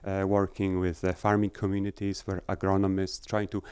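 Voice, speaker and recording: Man, low voice, smooth and clear, dry sound, halting delivery, many fillers. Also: completely flat delivery, darkish tone, no echo. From Poland. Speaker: agricultural researcher. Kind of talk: talk to an audience. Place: festival tent.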